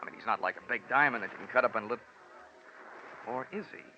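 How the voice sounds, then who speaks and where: An actor playing a wisecracking, confident American insurance investigator in a vintage radio drama, wry and sardonic. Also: male voice, mid-range voice, fast talker, pushed and bright. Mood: probing. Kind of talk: 1940s-50s radio detective acting and narration. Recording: noisy, muffled old radio recording.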